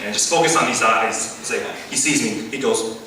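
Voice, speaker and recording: A young man in his twenties doing deadpan stand-up comedy at a student open mic. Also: fairly low pitch, moderate pace. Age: 20s. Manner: deadpan running gags. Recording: echoey room from far off with loud crowd noise.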